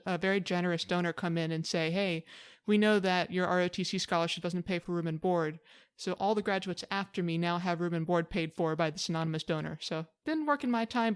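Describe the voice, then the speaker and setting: Fast-talking, smooth-voiced young woman. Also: fairly low pitch. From Hawaii. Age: late 20s. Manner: open, storytelling answers with fillers. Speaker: podcast guest. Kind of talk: conversational interview.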